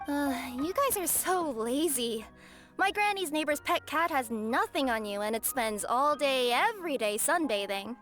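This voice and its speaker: Young game girl, cheeky, high-pitched, sharp, animated, exclamatory. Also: normal speed. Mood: scolding. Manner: exclamatory, bubbly, blunt. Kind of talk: scripted game voice acting. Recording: music under the voice.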